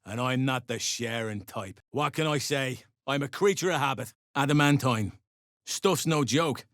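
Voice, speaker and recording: An actor playing a gruff, curt duergar slave-driver in a video game, full of coarse, threatening mercenary bluster. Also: male voice, medium pitch, normal speed, fairly bright tone, no echo, clean studio sound, lightly acted. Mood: gruff, boastful. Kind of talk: video game character dialogue.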